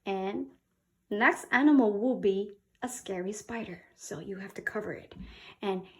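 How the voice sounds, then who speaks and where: A woman from South Korea reading a picture book to young children as a teacher, with simple, expressive talk and questions. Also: medium pitch, measured pace. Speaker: children's English read-aloud teacher.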